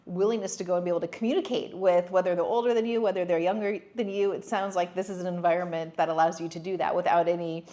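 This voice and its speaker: A woman's voice: on the low side, fairly even intonation, quick pace, hardly any pauses, dark tone. Encouraging woman hosting a school interview. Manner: encouraging, affirming with "yeah" and "right". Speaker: interviewer, school series host. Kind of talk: friendly interview.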